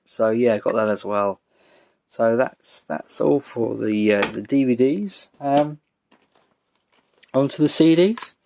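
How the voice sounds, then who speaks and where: A British man giving a casual, rambling show-and-tell as a vlogger, speaking in a halting, low-key way. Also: gravelly, darkish tone, slight background noise. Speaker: vlogger showing a music collection.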